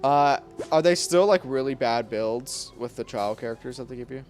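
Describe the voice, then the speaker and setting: A man's voice: mid-range voice, normal speed. A man giving casual let's play commentary, slangy and reactive, talking back to the game. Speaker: gaming content creator. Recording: game music loud behind the voice. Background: video game music.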